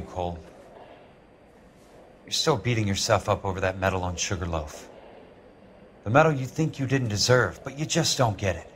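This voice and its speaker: An actor playing a world-weary war-veteran investigator in a video game, with an American accent and a blunt, hard-boiled manner. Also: male voice, mid-range voice, slight background noise, close to an everyday voice. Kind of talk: cinematic video game acting. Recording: loud music behind the voice.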